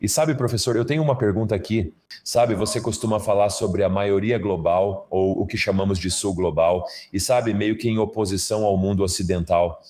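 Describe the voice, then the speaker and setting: A man with a fairly low voice hosts an interview. He responds agreeably and lays out his question step by step. The delivery sounds like a dubbed conversation. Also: fairly even intonation, normal speed, a little mellow. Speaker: host. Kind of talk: interview questions, Portuguese dub.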